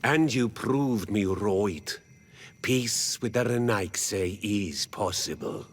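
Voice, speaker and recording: Game elder: wise old clansman, calm, wry, very slow delivery, lowish pitch. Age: older. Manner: slow, deliberate. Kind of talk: video game dialogue acting.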